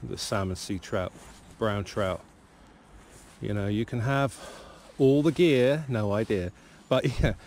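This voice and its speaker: Slow-talking man musing outdoors. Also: lowish voice, a little mellow. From Cornwall, England. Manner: musing, hesitant, pausing mid-sentence. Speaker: fishing vlogger, novice salmon angler. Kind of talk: casual reflective outdoor vlog chat. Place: outdoors on a river bank. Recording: clear outdoor background noise.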